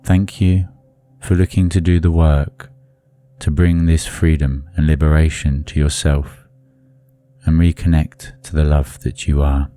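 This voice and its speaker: A man leading a guided meditation in a soothing, deliberate manner, leaving long pauses between phrases. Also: rumbling low, flat delivery, mellow, soft background music.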